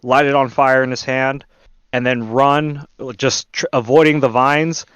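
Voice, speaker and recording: Smooth-voiced man playing a tabletop game. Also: mid-range voice, fairly even intonation, unhurried, a bit bright, no room sound. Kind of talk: casual game chat, thinking aloud.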